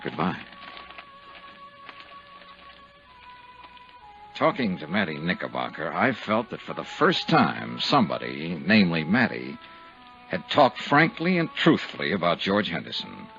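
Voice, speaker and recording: Blunt American male investigator, vintage radio crime drama, forceful and direct, dull old broadcast sound. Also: sits low, wildly swooping pitch, moderate pace, creaky, heavily acted. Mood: reflective. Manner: direct, clipped, questioning. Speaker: radio drama lead, narrator. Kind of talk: 1950s radio detective acting. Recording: dull 1950s radio recording, faint music.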